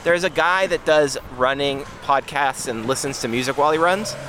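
A man's voice: on the high side, moderate pace, noisy background. A man co-hosting a podcast in casual banter, defensive and exasperated, swearing often. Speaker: podcast co-host.

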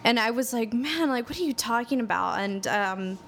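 A woman hosting an interview, relaxed and conversational as she shares her own story. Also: on the high side, moderate pace, creaky, slight background noise. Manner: relaxed, conversational, sharing personal stories. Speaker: host.

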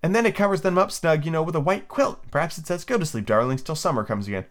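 A man's voice: on the high side, fast, pitched above the performer's own voice, natural voice. A man voicing a prim, chatty little girl who scolds and lectures her kitten, in a raised sing-song voice. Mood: dreamy. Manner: fussy, lecturing, lively and whimsical. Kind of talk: amateur reading of a children's book.

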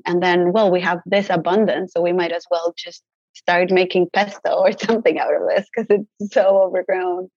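A woman's voice: medium pitch, darkish tone, no echo, lo-fi sound. A young woman from Mexico, a native Spanish speaker, chatting casually as an interview guest with many "like" fillers and easy laughs. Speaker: guest herbalist.

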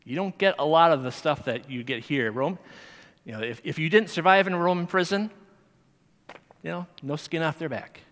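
A man's voice: a little high, moderate pace, a bit bright. A man teaching Sunday school, giving a sermon in a conversational way with fillers and restarts. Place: church sanctuary. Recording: long hall reverb.

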